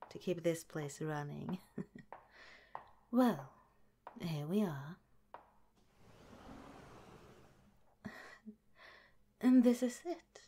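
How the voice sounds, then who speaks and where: A woman voicing a sly but gentle scientist who runs an underground lab, in a soft, half-whispered ASMR roleplay. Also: lowish voice, heavily acted. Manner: sweetly hospitable, with a scheming edge.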